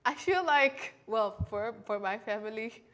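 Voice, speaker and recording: A teenage girl from Haiti chatting casually on a teen talk show, animated and often acting out what other people say. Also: a little high, measured pace. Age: teens. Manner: animated, quotes others in put-on voices. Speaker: teen co-host. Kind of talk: casual chat.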